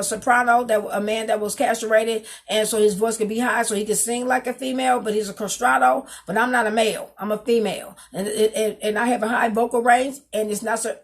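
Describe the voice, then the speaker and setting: A woman in her fifties talking to her webcam at home, reading from her resume in a rambling way and spelling out words. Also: on the high side. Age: 50s. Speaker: vlogger, singer and dancer. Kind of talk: casual talk to camera, reading from her resume. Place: small home room, indoors. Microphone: webcam on a desk.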